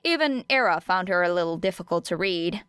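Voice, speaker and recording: A woman's voice: lowish voice, expressive, a little fast, a bit bright, clear, resonant tone, no room sound, studio quality. A woman reading scripted fiction as an audiobook narrator. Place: close, dry recording space.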